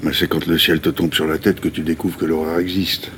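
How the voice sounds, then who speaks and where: A male actor playing a worn, middle-aged homeless man haunted by lost love, colloquial and gruff, in a naturalistic radio drama. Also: rumbling low, flat delivery, brisk, fairly bright tone, a little hiss or hum. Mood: weary, brooding. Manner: colloquial, gruff, emotionally volatile.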